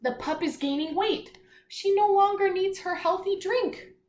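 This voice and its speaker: A woman teacher reading aloud to young children, pausing to explain simply, ask questions and encourage her listeners. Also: extremely high pitch, darkish tone. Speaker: elementary school teacher. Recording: echoey room, faint background noise.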